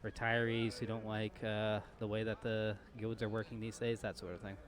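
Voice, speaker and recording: A man working as game master, improvising narration and rules for a tabletop game while thinking aloud in a halting way. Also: lowish voice, little pitch movement.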